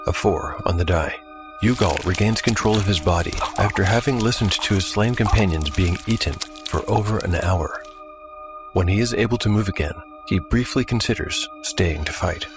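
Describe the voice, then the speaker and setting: A man's voice: low-pitched, normal speed, over music. A man narrating a tabletop role-playing game as a dramatic story, calling out the dice rolls in a theatrical way. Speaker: solo game narrator and player.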